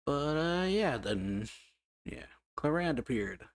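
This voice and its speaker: A woman's voice: deep voice, very animated, takes it slowly. A woman giving casual, laid-back let's play commentary as a gaming video host, in short murmured reactions.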